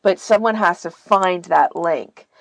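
A woman's voice: fairly low pitch, a little slow, vocal fry. A woman explaining things in a casual podcast chat, informally and with plenty of filler words. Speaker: podcast guest and volunteer archivist.

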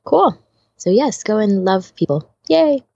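A woman's voice: medium pitch, sing-song, unhurried, vocal fry, dark tone, husky, no echo. A woman co-hosting a podcast in casual, loosely phrased chat, recorded a little lo-fi. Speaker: podcast co-host.

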